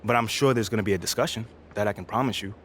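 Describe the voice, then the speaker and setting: A man's voice: on the low side, racing, a bit bright. An actor playing an earnest young male basketball rookie in a video game, talking in an informal, self-assured, slangy way. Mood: calm, disappointed. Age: young adult. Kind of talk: video game cutscene acting, casual interview talk. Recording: clear background noise.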